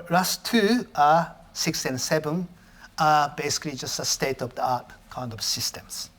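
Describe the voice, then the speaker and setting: A man whose first language is Japanese gives an academic lecture as a professor, often halting and correcting his own phrasing. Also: on the high side, fairly bright tone.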